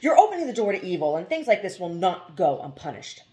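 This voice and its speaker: A woman's voice: on the low side, very animated, fast, darkish tone. A woman voicing a pious, fearful farmer in a storybook, harsh, emphatic and pleading. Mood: passionate.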